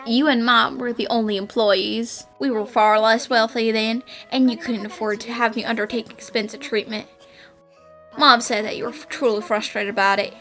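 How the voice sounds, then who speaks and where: A woman playing an earnest, devoted young adult daughter, speaking sincerely and gently in an animated drama fan dub. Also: a little high, moderate pace, faint music behind. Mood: tender, grateful.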